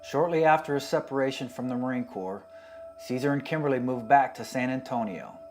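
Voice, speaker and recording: A man narrating a documentary in a solemn, measured way, his voice dropping quietly at the end of sentences. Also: medium pitch, faint music behind. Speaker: documentary narrator, fellow Marine veteran.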